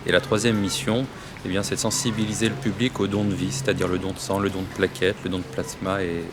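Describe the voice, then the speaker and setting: Man, charity spokesperson, low voice, earnest, hesitant, outdoor city noise. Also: flat delivery, quick pace. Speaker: charity association representative. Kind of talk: interview statement.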